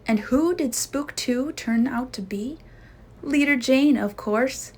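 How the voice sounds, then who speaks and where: A woman reading a children's storybook aloud in an expressive, suspenseful storytelling manner. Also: high-pitched, slow pace, slightly rough.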